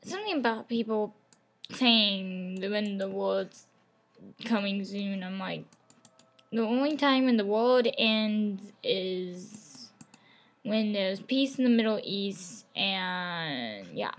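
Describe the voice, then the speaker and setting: Hoarse young woman rambling slowly, half-whispering. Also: medium pitch, expressive. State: losing her voice, sore throat. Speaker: gaming channel host. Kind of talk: casual gameplay commentary. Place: home room.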